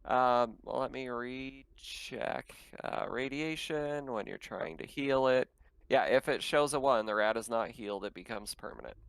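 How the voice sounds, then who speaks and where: A man's voice: medium pitch, unhurried, creaky, clear, resonant tone. A man running a tabletop roleplaying game as game master, talking casually and thinking aloud as he goes.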